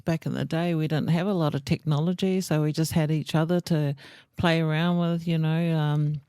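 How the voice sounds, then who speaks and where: A woman's voice: low voice, steady tone, mellow, clear, resonant tone, no room sound, clean studio sound. A middle-aged woman from New Zealand with a New Zealand accent, fondly reminiscing about her childhood as a guest on her son's podcast. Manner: unhurried, reminiscing, fond. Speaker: guest, host's mother. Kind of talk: casual interview.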